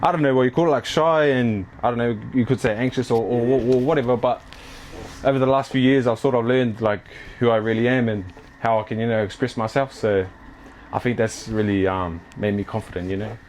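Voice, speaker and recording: A man's voice: mid-range voice, fairly even intonation, a little fast, a little mellow, slight background noise. Laid-back young New man. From New Zealand. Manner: laid-back, slangy, many fillers. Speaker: clothing brand owner and athlete. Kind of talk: casual interview chat. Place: his apartment.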